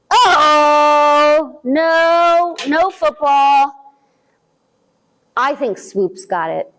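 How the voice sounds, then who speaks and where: Lively, high-voiced woman leading storytime. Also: poor audio. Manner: animated, sing-song, talking to little kids. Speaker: children's librarian. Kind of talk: virtual storytime for young children.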